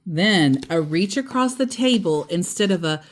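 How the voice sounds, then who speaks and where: A woman storyteller reading a children's picture book aloud expressively, drawing out key words and adding playful asides. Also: lowish voice. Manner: animated, drawn-out emphasis with playful asides.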